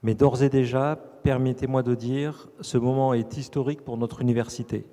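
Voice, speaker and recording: Solemn, mellow university president. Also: male voice, mid-range voice, steady tone. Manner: solemn, measured, formal. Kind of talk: formal ceremonial address. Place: ceremony hall. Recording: long reverb in a big hall.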